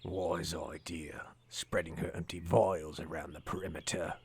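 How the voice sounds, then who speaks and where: An actor playing a sinister, gloating outlaw raider with a whispery voice in a fantasy audio drama. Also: male voice, sits low, fairly even intonation, a little slow, breathy edge, dry recording, exaggerated voice. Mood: menacing. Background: loud music.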